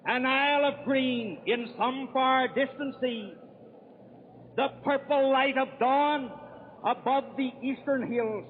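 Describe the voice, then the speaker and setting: Slow, very high-pitched declaiming male orator. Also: steady tone. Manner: declamatory, grandiose. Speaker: convention orator. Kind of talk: florid political nominating speech. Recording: tinny, noisy 1930s radio broadcast.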